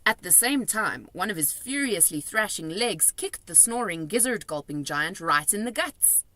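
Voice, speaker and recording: A woman reading a children's storybook aloud in an animated narrating style. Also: medium pitch, moderate pace, no room sound. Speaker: storybook reader.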